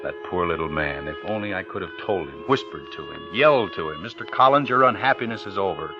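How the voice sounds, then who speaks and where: A tense American killer from a vintage radio thriller. His low voice moves in clipped, intent phrases, anxious underneath and coolly polite on top. The old recording is muffled, with music prominent behind him. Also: animated, quick pace. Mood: brooding. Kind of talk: 1940s-50s radio suspense acting, narrating.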